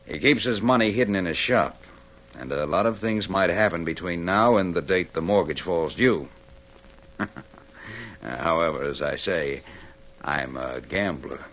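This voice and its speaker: An actor playing a smooth, scheming gambler-businessman villain in a 1940s radio western, deliberate and insinuating. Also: low voice, a bit bright, a little hiss or hum. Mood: sly. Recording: muffled old radio recording.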